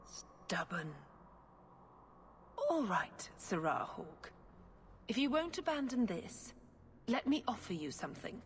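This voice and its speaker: A woman playing a condescending, scheming priestess in a video game, speaking in a measured, formal way. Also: medium pitch, big pitch swings, slightly rough, heavily acted. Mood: haughty, calculating. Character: scheming, condescending Chantry priestess, smooth political manipulator. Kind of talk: scripted video game acting. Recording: game music behind the voice.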